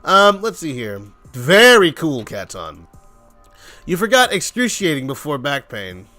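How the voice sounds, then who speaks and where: A man's voice: fairly high pitch, huge pitch swings, unhurried, pushed and bright. A man chatting casually and jokingly as he hosts a game developer's live stream, playfully rambling. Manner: joking, playful, acts out little imagined exchanges. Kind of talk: casual rambling livestream chat. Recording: music under the voice. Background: background music.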